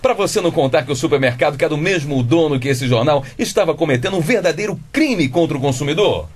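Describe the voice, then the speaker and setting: A man's voice: on the high side, lively intonation, fast, breathy edge. A Brazilian man playing a pompous, sarcastic husband and father, a schoolteacher, in a comic radio drama, theatrical and wordy. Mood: sarcastic indignation.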